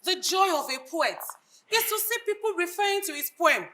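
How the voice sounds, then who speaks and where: A woman with a Nigerian accent playing a grieving, idealistic reformer in a live stage drama, declaiming in measured oratorical phrases. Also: extremely high pitch, very animated, sharp tone, slight background noise, full character voice. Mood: wistful, earnest.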